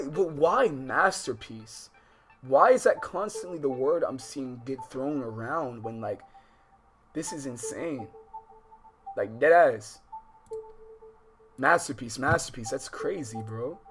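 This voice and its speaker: A man livestreaming a visual novel, mixing casual, slangy, swearing chat with reading game text aloud, often exasperated. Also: medium pitch. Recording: music behind the voice. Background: game music.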